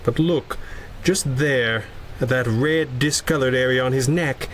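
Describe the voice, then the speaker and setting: Man acting a posh-mannered gentleman detective, polite and persistent, old radio serial, faint noise. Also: mid-range voice, a little slow, natural voice. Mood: probing. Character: genteel, shrewd gentleman sleuth, politely persistent. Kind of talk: 1930s radio drama acting.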